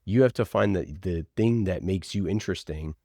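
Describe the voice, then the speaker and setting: Low-voiced emphatic man in casual interview. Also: fairly quick, warm tone, no room sound, clean studio sound. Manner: emphatic, passionate, uses rhetorical questions. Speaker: podcast producer and guest. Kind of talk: casual conversational interview talk.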